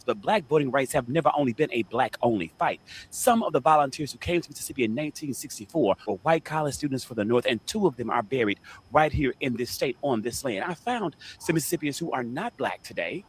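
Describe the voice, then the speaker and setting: A man's voice: mid-range voice, slight background noise, low-quality recording. A man narrating a video report in an earnest tone.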